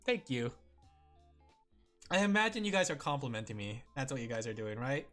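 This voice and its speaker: A man's voice: mid-range voice, sing-song, brisk, fairly bright tone, faint music behind. A man streaming and chatting casually with his viewers, rambling with lots of fillers and frequent giggles.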